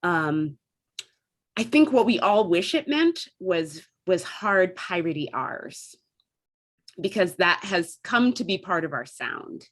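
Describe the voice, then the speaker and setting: A woman's voice: on the low side, very animated, takes it slowly, a bit bright. A woman with an American Midwestern accent explains things in a casual, chatty way, like a theatre member discussing her craft. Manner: chatty, demonstrates vowel sounds as she explains. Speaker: theatre company member recounting dialect training.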